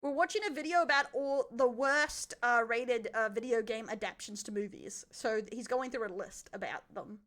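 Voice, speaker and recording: An Australian woman streamer chatting casually as she reacts to a video, animated and blunt. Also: a little high, moderate pace, hardly any pauses.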